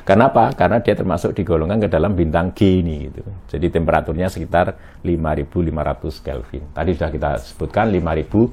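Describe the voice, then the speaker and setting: A middle-aged man with a very deep voice teaches an astrophysics lecture. He speaks in a halting way, filling pauses with hums and tag questions. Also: darkish tone, husky. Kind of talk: recorded university lecture.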